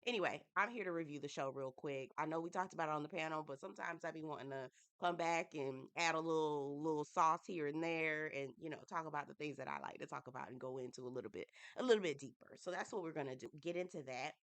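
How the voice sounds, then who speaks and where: Congested woman chatting with low voice. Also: fast, hardly any pauses. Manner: animated, playful, Southern-inflected slang, addresses viewers as y'all. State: congested with a head cold. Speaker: YouTube reality TV reviewer. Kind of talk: casual chatty TV review.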